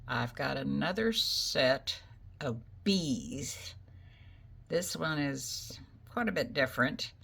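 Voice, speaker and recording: A woman's voice: extremely low pitch, lively intonation, slow pace, gravelly, a bit bright, husky. A woman hosting a casual embroidery tutorial, chatting homely with fillers as she works at her machine. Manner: chatty, homey, talks while working, many fillers. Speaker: crafting channel host. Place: craft room by an embroidery machine. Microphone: speaker placed away from her, voice faint.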